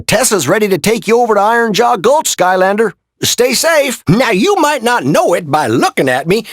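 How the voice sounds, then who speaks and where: A man's voice: very high voice, fairly bright tone, no echo, studio quality, full character voice. A man voicing a folksy, boastful old fish gladiator mentor in a video game, with a drawling Southern US country accent and a cartoonish voice. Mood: friendly, then proud, wistful. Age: older. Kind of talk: video game character voice acting.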